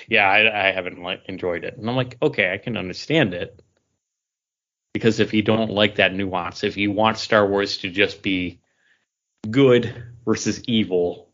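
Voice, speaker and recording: A man with a fairly low voice talks casually as a podcast co-host. He slips into imagined quotes to make his points. The audio is a little dull and lo-fi. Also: fairly bright tone. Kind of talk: casual geek-culture chat.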